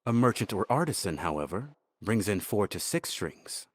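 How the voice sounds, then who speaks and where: Casting: a sober game advisor, lowish pitch, explaining like a bookkeeper, close dry sound. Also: male voice, big pitch swings, normal speed, no echo. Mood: sober, factual. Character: matter-of-fact male advisor reckoning household costs. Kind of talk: dramatic video game acting.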